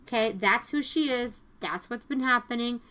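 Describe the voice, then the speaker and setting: Bright-voiced woman hosting a casual podcast. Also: on the high side, normal speed. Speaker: podcast host. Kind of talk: casual TV review discussion.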